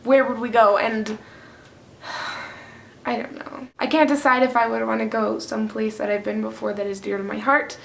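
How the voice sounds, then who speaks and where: A woman's voice: on the high side, vocal fry, slight background noise. A young woman from France, chatting casually to camera at home in a bubbly, talkative way. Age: young adult. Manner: bubbly, chatty, quick bursts of excitement. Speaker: vlogger.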